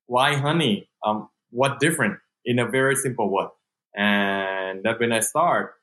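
A man's voice: medium pitch, a bit bright, poor audio. A young Thai man with a Thai accent, chatting in an interview in non-native English, full of "like" and restarts, laughing easily. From Thailand. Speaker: guest entrepreneur. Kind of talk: casual interview.

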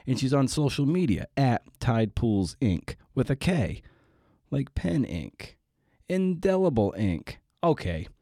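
A man's voice: medium pitch, big pitch swings, takes it slowly, mellow, no room sound, clean studio sound. A man hosting a podcast in a rambling, casual way, with a wry, self-deprecating, digressive manner. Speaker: podcast host and interviewer.

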